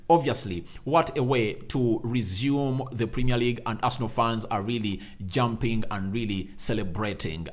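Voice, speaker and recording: Guy hosting live football reaction, reads out fan comments, emphatic and repeats his points, normal pitch voice. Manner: emphatic, repeats phrases for effect. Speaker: football show host.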